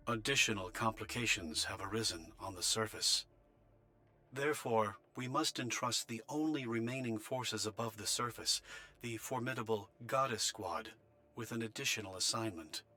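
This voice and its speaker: Low, forceful formal male official. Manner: measured, formal, bureaucratic. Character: formal male official of an underground refuge giving orders. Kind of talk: scripted voice transmission, formal address.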